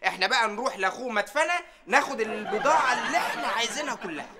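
A man's voice: very high voice, animated, very fast, over a noisy crowd. A man playing a grumbling, put-upon shop assistant with an Egyptian accent. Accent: Egyptian Arabic. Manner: whiny, grumbling.

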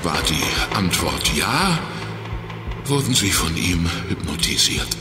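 Man acting a very deep, wounded ex-crook detective. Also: very animated, exaggerated voice. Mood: strained, grave. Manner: halting, strained confession with frequent pauses. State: weak and exhausted from injury. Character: wounded ex-crook turned private detective, weary but determined. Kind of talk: German children's audio drama. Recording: echoey reverberant hall, music underneath.

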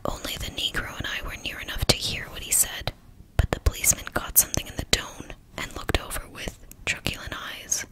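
A woman reading a novel aloud in a steady, hushed whisper. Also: brisk, rough recording. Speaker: narrator.

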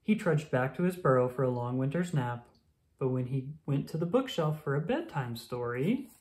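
An expressive reader narrating a children's picture book aloud. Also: medium pitch, normal speed. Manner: expressive storytelling. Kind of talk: children's story read-aloud.

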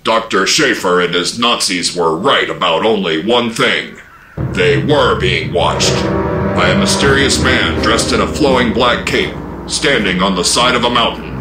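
A man's voice: on the low side, expressive, a little slow. A man narrating a radio drama serial as a solemn storyteller. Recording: echoing voice over loud music.

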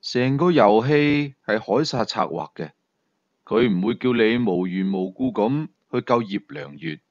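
A man voicing a cool, confident male sleuth in an audio thriller, low and hushed, with slow, deliberate delivery. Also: expressive, no echo, lo-fi sound. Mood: grave, measured.

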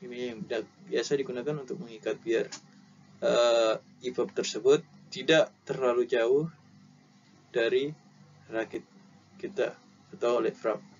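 Young Indonesian male instructor, unhurried and halting, explaining slides informally on dull headset audio. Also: mid-range voice, fairly even intonation, darkish tone. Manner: halting, explanatory, repeats key terms. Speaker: maritime safety instructor. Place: indoor room. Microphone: headset at a computer. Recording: dull lo-fi audio with clear background noise.

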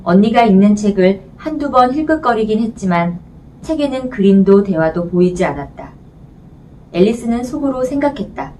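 A woman's voice: medium pitch, animated, moderate pace, warm tone. Woman reading a storybook, slightly distant mic. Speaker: audiobook reader. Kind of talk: scripted storybook narration. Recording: distant mic, faint background noise.

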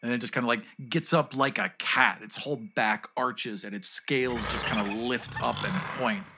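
A man's voice: medium pitch, steady tone, moderate pace. A man narrating casually in a tabletop game with a wry, playful manner. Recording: clearly noisy track with clicks.

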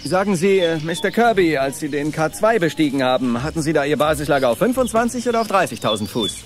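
A man's voice: on the high side, big pitch swings, fast talker, a little hiss or hum. A young man playing a keen, needling scientist's assistant in a German audio drama. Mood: probing.

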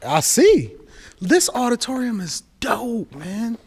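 A man walking through an abandoned school with an action camera, talking to himself in low asides and getting excited at what he finds. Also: slow, breathy edge. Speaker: urban explorer vlogger. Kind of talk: casual walk-through narration. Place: abandoned school building, large indoor halls. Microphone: head or chest-mounted action camera.

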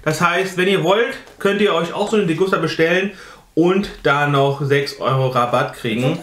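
A man's voice: on the high side, moderate pace, fairly bright tone, reverberant. A man in his thirties, co-hosting a food box unboxing vlog at a table, talking in a loose, rambling way with many fillers. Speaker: food box vlogger, co-host. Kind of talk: casual unboxing chat. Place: indoor kitchen or living room, seated at a table.

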